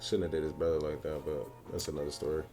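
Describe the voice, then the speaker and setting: Deep voice guy talking fast, casual reaction chat, music in the back. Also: little pitch movement, dark tone. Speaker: reaction show co-host. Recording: music behind the voice.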